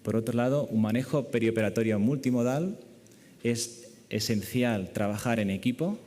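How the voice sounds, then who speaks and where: A man's voice: mid-range voice, moderate pace, mellow. A man with an accent from Spain giving a medical conference lecture as a surgeon, speaking in an echoey lecture hall. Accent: Spanish from Spain.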